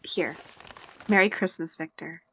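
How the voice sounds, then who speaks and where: A woman's voice: sits low, unhurried, bright, forceful tone, breathy edge, full character voice, rough recording. A woman acting in an audio drama as a sardonic young woman, blunt and teasing but fond underneath. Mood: playful teasing. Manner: dry, blunt, teasing sarcasm. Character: sardonic young woman, hurt but fondly teasing older-sister type.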